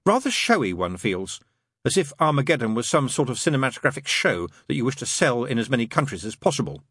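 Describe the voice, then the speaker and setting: A man's voice: mid-range voice, very animated, fast, fairly bright tone, breathy edge, no echo, clean studio sound, voice pushed up. A man voicing a prim, fussy, genteel male angel with polite, old-fashioned, faintly pompous phrasing, in an audiobook story. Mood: prim disdain.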